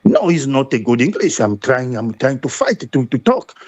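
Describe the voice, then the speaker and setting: A man from DR Congo with a Congolese accent, talking football as a guest caller in halting, repetitive, emphatic English that is not his first language. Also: mid-range voice, very animated, a little mellow, a little hiss or hum. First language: French. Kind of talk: animated football talk.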